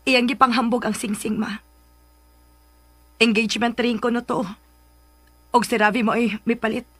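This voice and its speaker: A woman's voice: fairly high pitch, steady tone, normal speed, fairly bright tone, dry recording. A woman playing a wounded, doubting young daughter, plaintive in a radio drama. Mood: hurt.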